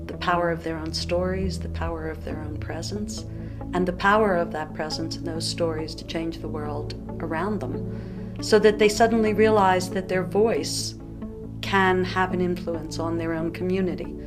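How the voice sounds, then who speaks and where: Woman, measured reflective interviewee, slightly low pitch. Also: fairly even intonation, music in the background.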